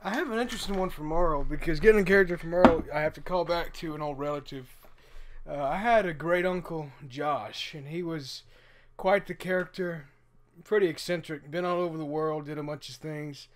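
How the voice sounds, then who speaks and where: A man, a voice actor, chatting casually and earnestly in a halting, self-effacing way. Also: a little high. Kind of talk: casual personal anecdote.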